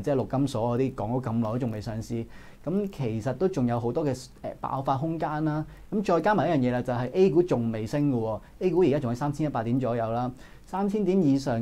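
A man in his thirties with a Hong Kong Cantonese accent, giving brisk analytical stock market commentary in a studio. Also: mid-range voice, warm tone. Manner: brisk, analytical, frequent filler particles. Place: indoor studio.

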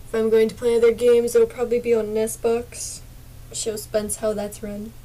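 A young woman streaming a game from her small dark bedroom, chatting casually to viewers with a sore, partly lost voice and drifting into mumbles. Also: fairly high pitch, completely flat delivery, moderate pace, rough recording. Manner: soft-spoken, drifts into whispers and mumbles. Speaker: gaming streamer.

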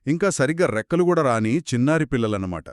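A man narrates a story for children as if chatting with a partner. His medium-pitched voice moves up and down with great animation and expressive exclamations. The recording is dry, with no room sound. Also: quick pace, no room sound. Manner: expressive, reacts with exclamations and short questions. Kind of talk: conversational children's storytelling dialogue.

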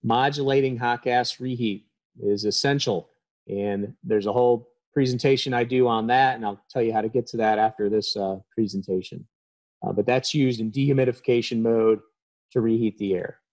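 A man's voice: medium pitch, steady tone, moderate pace, darkish tone. A man in his thirties giving a technical presentation as an HVAC trainer, talking plainly with frequent ums, recorded over a webcam.

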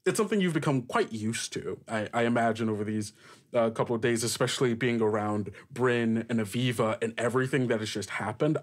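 A man with a mid-pitched, lively voice narrates a tabletop game as its game master. He improvises descriptions haltingly, feeling for each phrase. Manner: halting, searching for words as he describes. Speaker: game master, podcast host.

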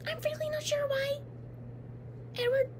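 Young woman doing a bratty jealous vampire girl, super high voice, snippy and dramatic, noisy webcam audio. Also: fairly even intonation, unhurried. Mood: scolding. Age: young adult. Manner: blunt, sarcastic, dramatic, with whispered asides. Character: haughty jealous female teen vampire. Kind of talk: comedic roleplay to the listener. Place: dim bedroom, indoors. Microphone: webcam at close range.

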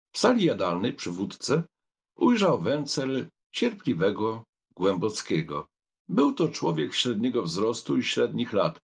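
A male audiobook narrator with a husky, gravelly voice of middle pitch. He reads literary prose expressively, in a measured storytelling manner.

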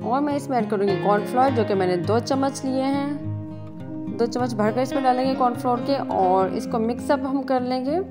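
A woman's voice: high voice, a little fast. A woman giving a casual step-by-step recipe voice-over as a cooking channel host, mixing English words into her talk. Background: guitar music.

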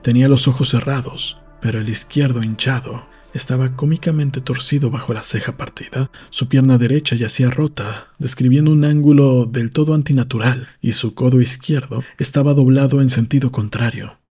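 A man's voice: medium pitch, fairly even intonation, moderate pace, few pauses, dark tone, breathy edge. A man reading a novel aloud as scripted audiobook narration for a podcast. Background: faint music.

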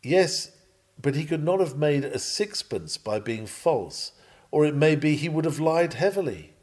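A man reading an old Victorian sermon aloud in an earnest, measured, preacherly manner. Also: on the high side, lively intonation, a little mellow. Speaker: sermon reader.

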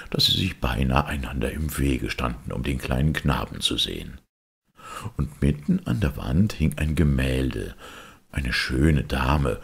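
A man reading a fairy tale as narrator, expressive, with a gravelly, husky voice. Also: a bass voice. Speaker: storyteller, narrator.

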